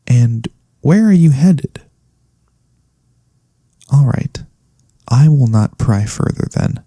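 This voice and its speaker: A man playing a gentle, caring elf cleric in a soft-spoken ASMR fantasy roleplay, soothing and attentive, with slightly formal phrasing. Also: on the low side, vocal fry, no room sound, clean studio sound, exaggerated voice. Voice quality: soft-spoken, breath-light delivery. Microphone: close studio USB condenser microphone.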